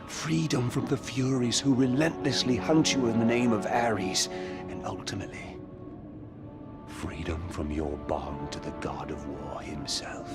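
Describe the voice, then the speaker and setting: An actor playing a solemn, secretive guide in a video game, speaking in a grave, measured, cryptic way. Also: male voice, on the low side. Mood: urgent, solemn. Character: solemn, secretive male guide to a troubled warrior. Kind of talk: dramatic video game acting. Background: music.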